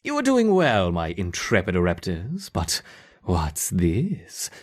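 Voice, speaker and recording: A man playing a gleefully sadistic scientist in a video game, theatrical and savoring, with mock innocence and a husky voice. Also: sits low, wildly swooping pitch, slow, heavily acted. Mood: gleeful, mock-dismayed. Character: gleefully sadistic male scientist running ant experiments. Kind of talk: video game character acting.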